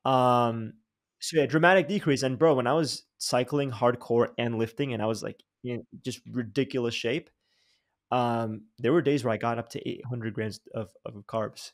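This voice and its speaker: A young man hosting a podcast, talking in a lively, slangy way with many restarts and repeated words. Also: medium pitch, normal speed. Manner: animated, slangy, says dude and bro, many restarts. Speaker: podcast host. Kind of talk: casual interview chat.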